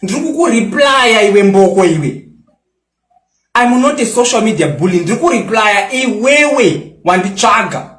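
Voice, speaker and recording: A young Zimbabwean man with a very high voice, taunting and boasting as he fires back at a critic. He switches into English often. The sound rings around an echoey room. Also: animated, normal speed, a bit bright. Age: 20s. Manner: mixes English into local-language talk. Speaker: social media personality. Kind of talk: angry response rant.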